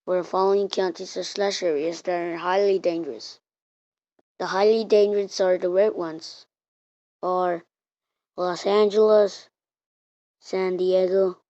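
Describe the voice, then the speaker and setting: A woman reading out an improvised mock emergency alert in a halting way, repeating and restarting her words. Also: lowish voice, steady tone, mellow. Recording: muffled, roomy home recording.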